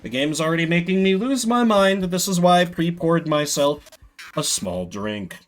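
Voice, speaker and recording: A man streams a video game with a lively, fairly high voice that swings widely in pitch. He rambles to his chat, curses freely and pokes fun at himself. Game music plays clearly behind him. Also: moderate pace. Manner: sweary, self-deprecating, rambling. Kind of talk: casual live gaming commentary with chat. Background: video game music and sound effects.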